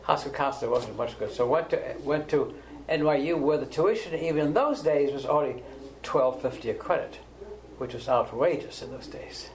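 An older man from Austria, a native German speaker, recalling his life story in a halting way with repeated words, recorded in his home kitchen. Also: on the high side, echoey room, rough recording. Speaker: interviewee. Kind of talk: oral history recollection.

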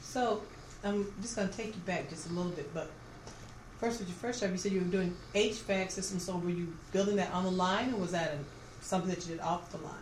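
A woman's voice: fairly low pitch, brisk. Woman doing an oral history interview, asking the questions, echoey room w/ noticeable background noise.

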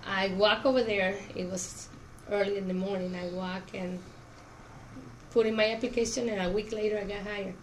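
A woman's voice: mid-range voice, fairly even intonation, moderate pace, low-quality recording. A middle-aged woman from Cuba, a Spanish speaker, answering questions in an oral history interview. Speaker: interviewee, auto plant worker. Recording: clear background noise.

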